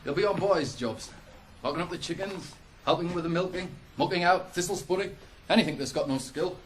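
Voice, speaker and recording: A man's voice: high-pitched, a little fast, natural voice. An actor in a 1980s radio drama playing a bossy, ambitious ploughman who instructs a young lad in a brusque, teasing way. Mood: proud, instructive. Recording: noisy 1980s radio broadcast recording.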